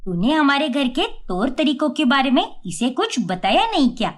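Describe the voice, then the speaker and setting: A scolding older mother-in-law from a cartoon family drama, acted by a woman. Her voice is high and swoops emphatically as she nags in a bossy, sharp-tongued way. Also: very animated, moderate pace. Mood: scolding, indignant.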